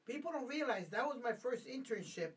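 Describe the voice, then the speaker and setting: A man guest, an animated storyteller who slips into Spanish. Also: very high-pitched, fairly quick. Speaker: guest, former radio worker. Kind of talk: casual chat. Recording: distant mic in a studio.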